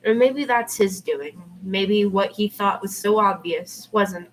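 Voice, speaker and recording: A woman's voice: mid-range voice, slow. A woman reading fan fiction aloud on an amateur livestream, with casual asides. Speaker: podfic reader and live streamer. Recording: clearly noisy amateur livestream recording.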